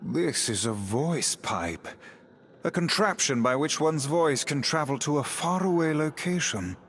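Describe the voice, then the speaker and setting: A man's voice: on the high side, expressive, unhurried, a little hiss or hum. A man playing a haughty talking magic book companion in a video game, speaking in formal, archaic, learned phrases. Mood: curious, stately. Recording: loud music behind the voice. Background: loud game music.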